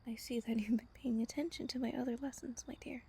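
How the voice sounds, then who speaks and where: A woman playing a gentle, wistful female vampire, telling a bedtime story tenderly and intimately in a breathy, whispery, soft-spoken roleplay style. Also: fairly high pitch, steady tone, quick pace. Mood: regretful, hushed. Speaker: voice actor in roleplay audio.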